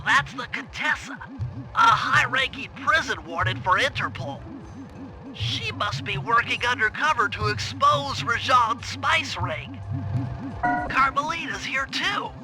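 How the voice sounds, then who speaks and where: A nerdy turtle mastermind, very high-pitched and nasal, briefs a heist with fussy precision. The cautious, earnest cartoon game delivery sits over clear background music. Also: male voice, lively intonation, a little slow, low-quality recording. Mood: intent, observant.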